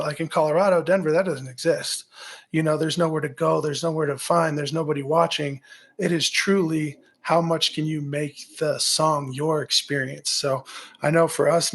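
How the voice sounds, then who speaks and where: Raspy man with a gravelly edge. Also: fairly high pitch. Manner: energetic, pep-talk delivery with repeated "right?". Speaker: musician guest, former baseball pitcher. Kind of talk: casual interview talk.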